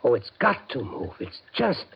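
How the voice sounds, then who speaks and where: An actor playing a desperate, impulsive gambler in 1950s radio suspense style, agitated, halting and intense. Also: male voice, mid-range voice, huge pitch swings, creaky, slightly rough, exaggerated voice. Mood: bewildered. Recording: muffled, hissy 1950s radio recording.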